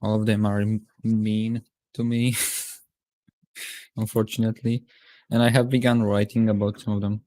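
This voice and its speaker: Flat, mellow man sharing casually. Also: fairly low pitch, normal speed, pauses often, no echo. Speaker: participant in a group discussion.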